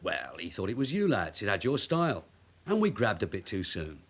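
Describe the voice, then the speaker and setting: An actor playing a shrewd, middle-aged detective sergeant in a radio crime drama, brisk, persuasive and controlled. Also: male voice, on the low side, wildly swooping pitch, gravelly, a bit bright, exaggerated voice. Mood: smoothly casual. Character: shrewd veteran London detective sergeant, wily and insistent.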